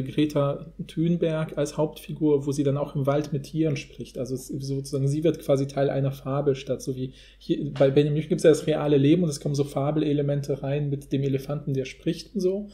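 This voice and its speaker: A man's voice: on the high side, warm tone. A man in a casual analytical podcast discussion, talking in a rambling, academic way.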